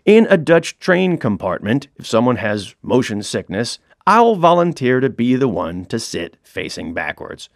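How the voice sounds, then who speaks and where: Wry man narrating with lively pitch. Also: a little slow, vocal fry, hardly any pauses, no room sound, clean studio sound. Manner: wry, self-mocking storyteller. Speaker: author reading his own audiobook. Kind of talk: comic audiobook narration.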